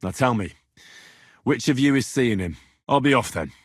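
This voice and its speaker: Young medieval commoner, slightly rough husky voice, animated pitch, earnest, cheeky, colloquial, video game dialogue. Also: male voice, normal speed, no room sound, clean studio sound. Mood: probing, firm. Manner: colloquial, questioning, bantering.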